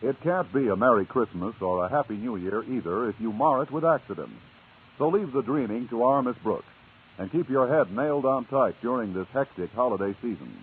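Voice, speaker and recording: A man's voice: medium pitch, lively intonation, fairly quick, husky. A man working as a radio announcer, reading a scripted public-service message in 1950s style. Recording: dull, narrow old radio recording.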